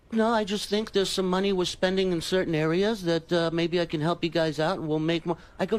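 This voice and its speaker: Male comedian type, worked-up storyteller with a raised, swinging voice, salty language, re-enacts arguments. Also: fairly high pitch. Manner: exasperated, profane, acts out quoted dialogue. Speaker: stand-up comedian and staff guest on a radio show. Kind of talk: heated anecdote telling in casual studio talk. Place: radio studio.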